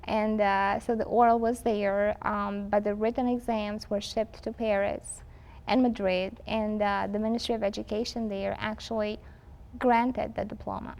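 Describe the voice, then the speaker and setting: A woman in her twenties from Romania, a university professor answering interview questions in a measured way, pausing often with "um" and "uh". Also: mid-range voice, little pitch movement, gravelly, darkish tone. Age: 20s. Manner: measured, frequent "um" and "uh" pauses. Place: campus film set, indoors.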